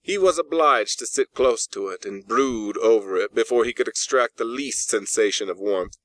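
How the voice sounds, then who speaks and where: A man reading a classic ghost story as an audiobook narrator, with deliberate, dramatic phrasing and emphatic pauses. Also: mid-range voice, a bit bright, dry recording.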